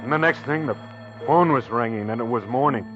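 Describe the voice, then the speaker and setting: An actor playing a dazed, obsessed husband, narrating haltingly in the style of 1940s radio drama. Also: male voice, mid-range voice, close to an everyday voice. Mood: groggy, brooding. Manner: halting, groggy, brooding. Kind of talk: 1940s radio acting, first-person narration. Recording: muffled vintage radio recording, organ music.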